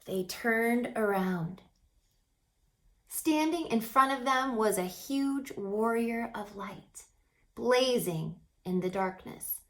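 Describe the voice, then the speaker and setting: A woman reading a children's story aloud with dramatic expression, stretching words and dropping into hushed passages. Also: a little high, expressive, slow pace. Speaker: storybook reader.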